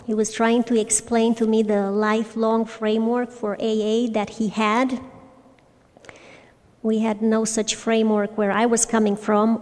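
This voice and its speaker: A woman in her forties from Romania, sharing her personal story at a recovery fellowship meeting in a hesitant way, with frequent pauses and restarts. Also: medium pitch, a little mellow. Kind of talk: personal testimony talk. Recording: long reverb from a large hall.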